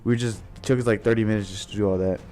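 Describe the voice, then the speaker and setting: A man's voice: fairly low pitch, fairly even intonation, racing, mellow, soft background music. Young man telling a rambling casual story. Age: young adult. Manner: slangy, sweary, rambling.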